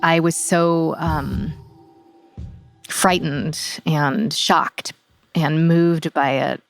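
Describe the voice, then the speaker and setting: Deep, creaky-voiced woman over music. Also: steady tone, drawn-out words. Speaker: actor in the play, interview guest. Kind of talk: reflective interview talk.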